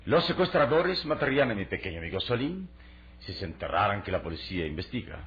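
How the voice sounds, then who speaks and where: An actor playing a resolute, commanding adventure hero in a radio serial, with formal, emphatic delivery. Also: male voice, on the low side, big pitch swings, quick pace, fairly bright tone. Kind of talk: Latin American radio serial acting. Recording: muffled, narrow old radio drama recording.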